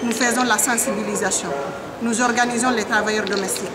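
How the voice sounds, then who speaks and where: A woman's voice: a little high, steady tone, fast talker, heavy background noise. A middle-aged woman from Guinea, a trade union leader, answering press questions earnestly and insistently into a handheld microphone. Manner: earnest, insistent, repeats key phrases. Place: indoor public building, crowded. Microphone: handheld reporter mic held close. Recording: loud crowd chatter behind.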